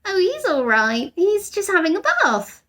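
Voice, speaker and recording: A woman's voice: very high-pitched, wildly swooping pitch, unhurried, a bit bright, pitched above the performer's own voice, heavily acted. A woman voicing an eager, polite young daughter in a children's storybook. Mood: breezy.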